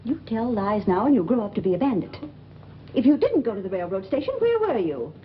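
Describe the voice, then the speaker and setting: A woman's voice: mid-range voice, sing-song, brisk, close to an everyday voice. An actress playing a strict, scolding mother in a 1940s film. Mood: stern, threatening. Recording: muffled old film soundtrack with hiss.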